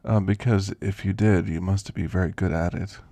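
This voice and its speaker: Guy doing a creaky old grandpa werewolf, asmr roleplay, gentle n teasing, super close dry sound. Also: low-pitched, steady tone, no room sound. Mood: gentle, friendly. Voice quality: gravelly, creaky. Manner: unhurried, folksy, gently chatty. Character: kindly, frail elderly male werewolf, teasing and grandfatherly. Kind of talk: ASMR roleplay, intimate character monologue.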